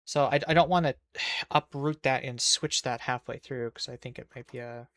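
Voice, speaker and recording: A man's voice: medium pitch, fairly even intonation, normal speed, fairly bright tone, no room sound. A man with a Minnesotan accent, in his thirties, chatting casually with viewers while he streams a game and restarting his sentences as he goes. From Minnesota, USA.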